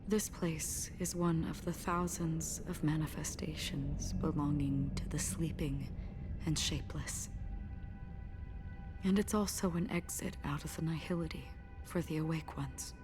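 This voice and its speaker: A woman playing a solemn, melancholy wanderer who guides souls toward death, speaking softly in measured, breathy phrases for a video game. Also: low-pitched, steady tone, over music. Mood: soothing, solemn. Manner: slow, soft, measured, breathy trailing phrases. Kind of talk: dramatic video game voice acting.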